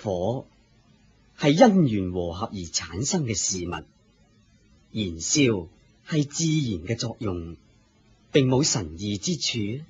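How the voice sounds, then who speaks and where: A man with a Hong Kong Cantonese accent playing a wise, authoritative spiritual teacher, measured and didactic, in a radio drama. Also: lowish voice, big pitch swings, darkish tone, slight background noise. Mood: calm, instructive. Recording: muffled lo-fi radio drama recording.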